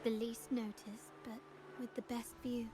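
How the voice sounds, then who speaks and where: An actress voicing a shrewd, composed noblewoman agent in a video game, speaking in formal, measured, articulate phrases. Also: on the high side, little pitch movement, natural voice. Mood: coolly probing. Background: game music.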